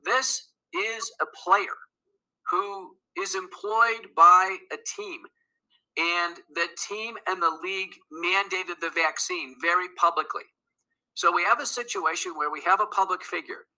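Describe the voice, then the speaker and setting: A man's voice: high-pitched, bright, forceful tone, rough recording. A man interviewed as a doctor guest, speaking firmly and assertively, listing facts steadily. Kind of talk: interview clip.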